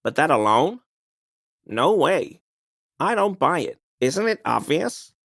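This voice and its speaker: A man's voice: a little high, sing-song, takes it slowly, gravelly, fairly bright tone, no echo, studio quality, heavily acted. An actor playing a smug, conspiracy-minded man in a video game, speaking in an insistent, knowing, insinuating way. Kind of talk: video game dialogue voice lines.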